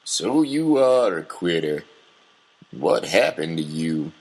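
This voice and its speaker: An actor playing a bitter, scolding older father in a surreal audio drama, grumbling and accusing in a gravelly voice. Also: sits low, big pitch swings, takes it slowly, a little mellow, full character voice. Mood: indignant. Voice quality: gravelly, husky.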